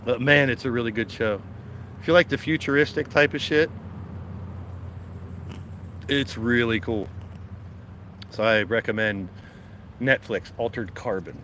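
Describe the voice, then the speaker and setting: A man's voice: mid-range voice, a little slow, long pauses, fairly bright tone. Casual male streamer, congested, rambling outdoor garden talk on a phone mic, faint street noise behind. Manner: rambling, laid-back, talks to chat while walking. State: stuffed up. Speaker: live stream host. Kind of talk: casual live-stream chat. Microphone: phone camera held while walking.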